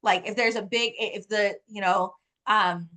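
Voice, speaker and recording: A woman's voice: medium pitch, moderate pace. A woman, chatting casually as an author guest in an interview and telling things with animation, often saying "like". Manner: animated storytelling, heavy use of "like".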